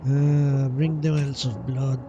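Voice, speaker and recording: A man's voice: medium pitch, level tone, takes it slowly, distant mic, dull audio, noisy background. A man doing casual live gaming commentary, muttering to himself as he thinks aloud. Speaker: game streamer. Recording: loud game music behind the voice. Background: loud video game music.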